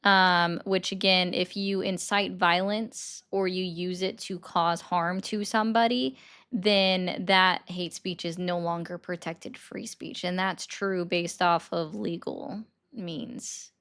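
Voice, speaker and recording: A woman in her forties hosting a casual call-in livestream, talking briskly and directly with callers. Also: lowish voice, fairly even intonation, a bit bright, smooth.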